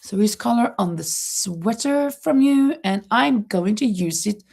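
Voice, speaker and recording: A woman's voice: mid-range voice, lively intonation, unhurried, vocal fry, a little mellow. A middle-aged Norwegian woman hosting a casual livestream from home, chatting and reading out viewer comments with frequent laughs and restarted words. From Norway. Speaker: livestream host. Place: home, near a terrace.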